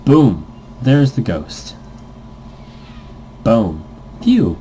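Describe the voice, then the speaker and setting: A man's voice: mid-range voice, sing-song, extremely slow, dark tone, slight background noise. A man hosting a let's-play, reading a visual novel aloud and adding playful, goofy improvised asides in a casual gaming commentary style.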